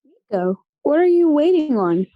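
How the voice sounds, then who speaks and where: A woman's voice: high voice, sing-song, moderate pace, dry recording, muffled recording. A young woman playing a friendly, chatty teenage team PR girl in a table read. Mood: brisk. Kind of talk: table read of a scripted pilot.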